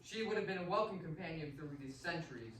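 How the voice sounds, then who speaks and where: An actor playing an imperious, seductive, vengeful vampire count in a radio play, formal, theatrical and commanding. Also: male voice, fairly high pitch, expressive, fast, a bit bright. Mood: proud, commanding. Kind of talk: amateur radio play acting. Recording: roomy, distant, faintly noisy stage recording.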